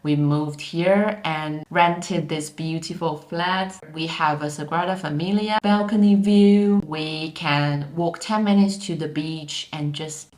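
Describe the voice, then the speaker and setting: Young woman from Taiwan, low voice, candid chatty vlogger, roomy echo. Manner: chatty, candid, repeats words for emphasis. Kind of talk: casual vlog talk. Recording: echoey room.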